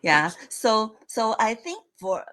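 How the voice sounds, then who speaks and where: A woman's voice: fairly high pitch, sing-song, sharp tone. A woman guest in a casual online chat, speaking hesitantly with frequent small laughs. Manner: slow, hesitant, laughs often. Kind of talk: casual live chat interview. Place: lived and worked in the United States.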